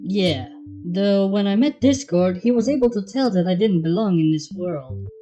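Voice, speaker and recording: A woman's voice: medium pitch, lively intonation, normal speed, mellow, close to an everyday voice. A woman voicing a guarded, modest unicorn mare in a story reading, with a dry, understated delivery. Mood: serious. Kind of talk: fan fiction character reading. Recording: dull, muffled sound under music.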